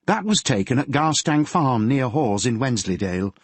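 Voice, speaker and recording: Man playing a grieving Yorkshire mother, middle-aged, fretful, homely, higher voice, husky edge. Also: sing-song, normal speed, dry recording. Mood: sorrowful. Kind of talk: audiobook character voice.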